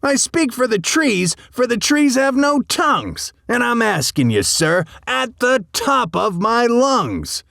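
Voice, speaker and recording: Guy doing a bossy old mossy creature for a storybook, pitched way up, sharp and indignant. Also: very animated, takes it slowly, gravelly, hardly any pauses, no room sound, studio quality, exaggerated voice. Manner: bossy, emphatic. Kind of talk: animated storybook read-along.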